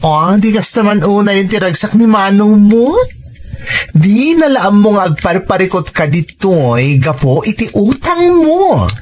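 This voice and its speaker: A woman's voice: medium pitch, big pitch swings, measured pace, a little mellow, slight background noise. An actress playing a frank, caring female friend who gives firm advice, with an Ilocano accent, in an audio drama. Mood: questioning, reproving. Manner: admonishing, questioning, pleading. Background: faint music.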